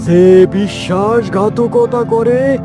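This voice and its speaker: A man voicing a vengeful, bossy tiger in a children's cartoon, threatening and drawn-out in delivery. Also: high voice, over music. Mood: menacing.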